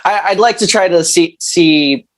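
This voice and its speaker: A man's voice: high voice, unhurried. A thirty-year-old man chatting casually as a musician guest in an interview, hesitant and rambling, with slangy phrasing.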